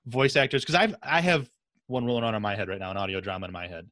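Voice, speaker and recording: A man's voice: on the low side, very animated, fast talker, bright, forceful tone, no echo. A man interviewing casually as a podcast host, with an enthusiastic, effusive manner.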